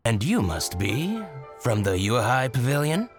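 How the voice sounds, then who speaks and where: A man playing an envious, scheming shopkeeper in a video game, theatrical, excitable and given to grumbling and gloating. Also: mid-range voice, a little slow, soft background music. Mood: fawning, eager.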